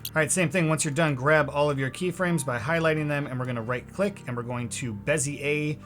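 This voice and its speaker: Higher-voiced man hosting a casual editing tutorial. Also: brisk, a bit bright, faint music behind. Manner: informal, joking, addresses viewers as guys. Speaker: host of a video editing tutorial series. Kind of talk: casual screen-recorded software tutorial.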